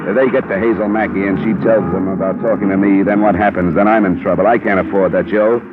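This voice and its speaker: A man plays a world-weary American investigator from a golden-age radio crime show. His voice is low and his delivery clipped, sardonic and interrogating. The sound is narrow and phone-like, like an old broadcast. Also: fairly even intonation, quick pace, very noisy, close to an everyday voice. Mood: pleading, resigned. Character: hard-boiled, world-weary male insurance investigator. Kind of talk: 1950s radio detective acting. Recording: muffled, narrow-band old radio recording.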